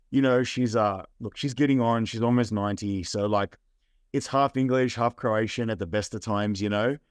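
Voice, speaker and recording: A man's voice: mid-range voice, dry recording, clean studio sound. A young adult man with an Australian accent, chatting as a guest in a casual, rambling way with lots of fillers. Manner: laid-back, rambling with fillers. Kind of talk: casual interview.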